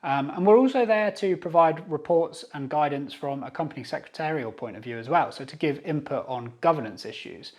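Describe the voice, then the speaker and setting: A man's voice: a little high, expressive, fairly quick, hardly any pauses. A man with a British accent from Jersey in the Channel Islands, presenting an informal, structured explainer as a video host. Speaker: YouTube host and company secretary. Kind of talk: scripted explainer to camera.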